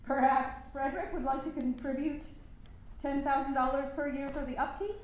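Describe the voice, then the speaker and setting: High, pointed suffragist played by an actress, very echoey. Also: female voice, steady tone, moderate pace, darkish tone, a little hiss or hum, lightly acted. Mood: reproachful. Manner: formal, emphatic, pointed. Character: earnest, sharp-tongued, embattled 19th-century suffragist newspaper proprietress. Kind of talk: staged play reading. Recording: very echoey room.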